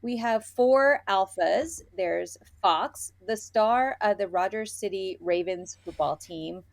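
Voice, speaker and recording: A woman's voice: medium pitch, takes it slowly, no room sound. A woman co-hosting a podcast, chatting casually about books in a playful, informal, gushing way. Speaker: podcast co-host. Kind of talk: casual book review chat.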